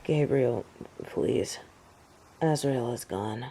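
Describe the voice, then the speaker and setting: Female angel of judgment, played by a woman, imperious, haughty, slow formal delivery, roomy echo. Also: very deep voice, warm tone, slight background noise, exaggerated voice. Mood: guarded. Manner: formal, solemn, haughty diction. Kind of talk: fantasy audio drama acting. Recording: roomy echo, distant from the mic.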